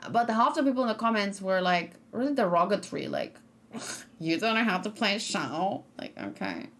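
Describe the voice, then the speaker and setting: A woman's voice: medium pitch, sing-song, normal speed, fairly bright tone. Chatty woman streamer thinking aloud. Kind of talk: casual live-stream chat, reacting and reading chat.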